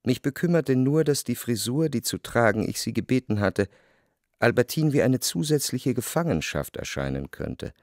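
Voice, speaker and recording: An actor playing a refined, jealous, brooding man who narrates his memories in a measured, articulate radio play performance. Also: medium pitch, big pitch swings, creaky, no echo, clean studio sound. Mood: wistful, brooding.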